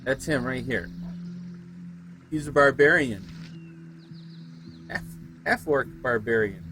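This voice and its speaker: A man's voice: mid-range voice, expressive, slow pace, sharp tone, soft background music. A man from the United States streaming a game, chatting casually and drifting off into digressive stories. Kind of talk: casual rambling chat while streaming a game.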